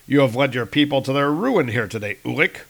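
A man voicing a proud, heroic male thunder god from a comic, in a gravelly voice. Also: mid-range voice, animated, a little fast. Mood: defiant.

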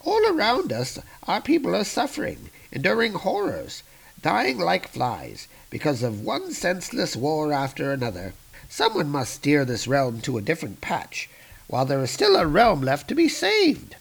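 Man voicing an old wizard, gravelly, high, earnest, pleading. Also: huge pitch swings, normal speed, exaggerated voice, rough recording. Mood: earnest, urging.

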